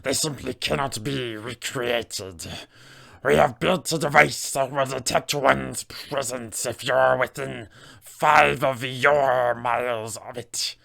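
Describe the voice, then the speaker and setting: Alien gnome creature, very creaky, slow delivery, ominous, courtly wording, roleplaying game monologue. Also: male voice, mid-range voice, steady tone, fairly bright tone, full character voice. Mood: grave, measured. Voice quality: gravelly, creaky. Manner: slow, stilted, formal speech. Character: cold alien gnome mind flayer, formal and ominous.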